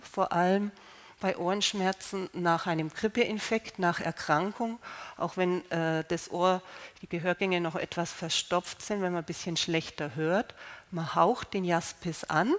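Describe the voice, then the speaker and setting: A woman with a slight cold calmly explaining how to use healing stones, unhurried, with fillers and restarts. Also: fairly low pitch. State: slight cold, clearing throat. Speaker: gemstone healing presenter.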